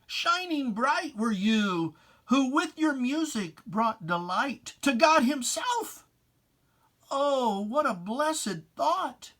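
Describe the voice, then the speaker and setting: A reader giving a solemn, theatrical recitation of religious verse, with drawn-out phrasing. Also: high-pitched, fairly bright tone. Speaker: poetry reader.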